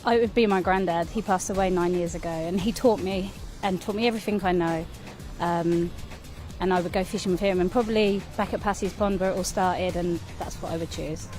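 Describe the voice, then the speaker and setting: A woman's voice: lowish voice, steady tone, brisk, darkish tone, faint music behind. A woman giving short interview answers with self-mocking humour. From West Sussex. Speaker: competitor interviewee.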